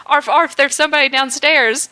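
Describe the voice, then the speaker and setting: A woman's voice: high-pitched, steady tone, normal speed, sharp tone, dry recording, voice pushed up. A woman doing an anxious retriever girl. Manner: anxious, insistent, barking. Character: anxious female golden retriever.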